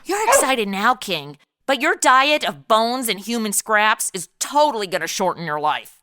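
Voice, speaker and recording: A woman's voice: on the high side, big pitch swings, moderate pace, pushed and bright. A woman playing a sarcastic modern party girl in an audio comedy, slangy and blasé, acting and narrating. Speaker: lead character and narrator.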